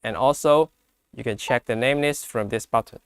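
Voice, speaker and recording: A man with a mid-pitched, very clear voice demonstrates products in a dry, close recording. He is a non-native English speaker who explains step by step, halting and repeating phrases as he goes. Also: no room sound. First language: not English. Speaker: product presenter.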